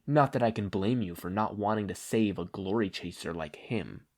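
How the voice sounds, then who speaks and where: Cold-blooded male killer acted by a man, deep register, stately scornful threats, audiobook villain. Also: moderate pace, darkish tone, natural voice. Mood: contemptuous menace. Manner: menacing, formal, contemptuous.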